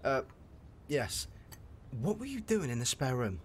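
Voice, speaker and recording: A sensible young British man in a radio soap, talking frankly like a loyal friend. His mid-pitched voice moves up and down a lot. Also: big pitch swings, unhurried, slightly rough. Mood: exasperated. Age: young adult. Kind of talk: naturalistic radio soap acting.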